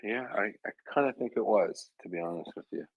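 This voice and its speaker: Deep husky-voiced male host. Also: lively intonation, a little fast, no room sound, phone-quality sound. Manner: joking, teasing. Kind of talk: casual chat.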